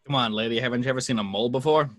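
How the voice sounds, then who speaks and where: A man playing a cynical, sarcastic private detective in an amateur table read, gruffly dismissive in his delivery. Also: mid-range voice, racing, natural voice. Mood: irritated.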